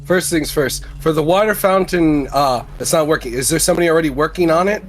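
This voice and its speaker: A man's voice: fairly high pitch, quick pace. A man playing a tabletop role-playing game, chatting and role-playing in a playful, informal way. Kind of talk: casual chat and role-play at a game.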